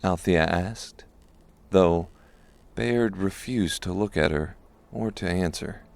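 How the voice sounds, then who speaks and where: Deep, gravelly male audiobook narrator. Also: takes it slowly.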